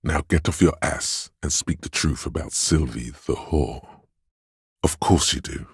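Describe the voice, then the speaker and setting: A man voicing the empathetic inner voice of a troubled detective in a video game, narrating in a measured, intimate, knowing way with a husky tone. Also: extremely low pitch, no echo, studio quality, full character voice. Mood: urging, assured. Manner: measured, intimate, weighty delivery.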